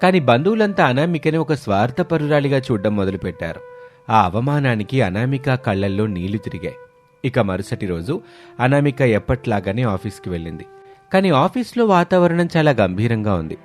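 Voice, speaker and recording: A man's voice: medium pitch, expressive, normal speed, soft background music. A man narrating a scripted moral story.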